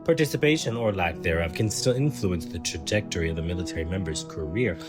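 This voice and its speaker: Man, very low pitch, scripted narration, formal expository delivery, prominent instrumental music backing. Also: lively intonation, quick pace. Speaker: co-reader of a poem.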